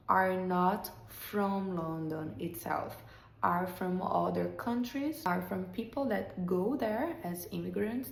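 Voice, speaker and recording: A young adult Brazilian woman with a Brazilian Portuguese accent, an English teacher talking slowly and deliberately in a lesson podcast, using short repeated sentences. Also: mid-range voice, gravelly, a little mellow, roomy sound, slight background noise, poor audio.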